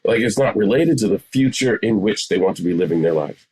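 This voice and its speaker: A man's voice: fairly low pitch, lively intonation, a little fast, a little mellow, slightly rough, rough recording. A man in his forties explaining things conversationally as an interview guest, emphatic and repeating phrases for stress. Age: 40s. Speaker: psychotherapist, podcast guest.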